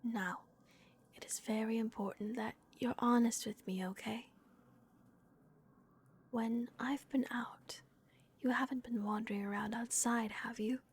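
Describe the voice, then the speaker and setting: A woman voicing a gentle, protective female vampire caretaker in a soft, hesitant whisper on a close microphone. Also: fairly high pitch, creaky, slight background noise. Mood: tender, caring. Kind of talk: whispered ASMR roleplay.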